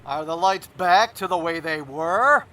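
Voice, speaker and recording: An actor playing a curt, weary presidential aide in a comedic audio drama, drily patient and terse. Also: male voice, a little high, a little slow, sharp tone, slight background noise. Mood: stern.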